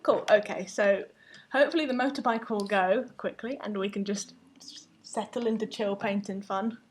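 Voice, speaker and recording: A woman's voice: mid-range voice, normal speed, a little hiss or hum. Chatty woman painting on a live stream. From Finnish family. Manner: chatty, laughs often, loses her thread. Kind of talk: casual chat while painting.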